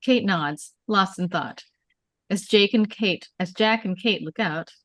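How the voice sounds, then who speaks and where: A woman's voice: mid-range voice, measured pace, fairly bright tone, no echo. A woman reading screenplay stage directions as scripted narration, in short clipped storytelling phrases.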